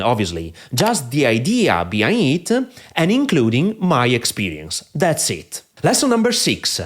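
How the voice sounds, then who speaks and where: A middle-aged man with a strong Italian accent, a non-native English speaker, giving online marketing advice straight to camera with many "uh" fillers and emphatic lifts on key phrases. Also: mid-range voice. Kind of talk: direct-to-camera advice talk. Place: in front of a green screen. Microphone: podcaster microphone.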